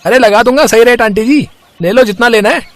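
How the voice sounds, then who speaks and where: A man's voice: extremely high pitch, fairly quick, a bit bright. A man voicing an eager, coaxing street vegetable seller in a story. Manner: salesman-like, coaxing.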